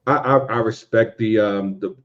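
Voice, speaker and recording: A man with a deep voice talks casually in a podcast discussion. He speaks haltingly, restarting and repeating words as he thinks. The recording is dull and lo-fi. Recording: dull, lo-fi microphone sound.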